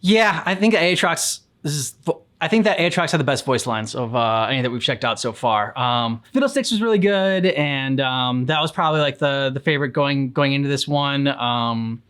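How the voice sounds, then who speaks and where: A man co-hosting a casual reaction chat, rambling and halting, with some casual swearing. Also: mid-range voice, expressive, a bit bright, smooth. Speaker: reaction channel co-host.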